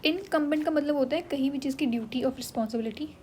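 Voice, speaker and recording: A woman's voice: high voice, fast, darkish tone, slight background noise. A woman with an Indian English accent teaching English vocabulary in mixed Hindi and English, checking in often with her students. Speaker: English exam tutor. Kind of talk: Hinglish vocabulary lesson.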